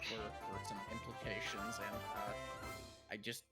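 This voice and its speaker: A man's voice: on the low side, little pitch movement, a little slow, over loud music. A man co-hosting a story-reading show, chatting casually and jokily with friends. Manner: jokey, crude humour.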